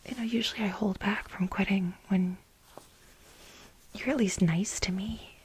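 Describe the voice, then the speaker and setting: A woman playing a flustered, aggrieved female assistant in a soft-spoken ASMR role play, halting and dramatic, repeating herself for emphasis in a breathy whisper. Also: medium pitch, fairly even intonation. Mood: wounded.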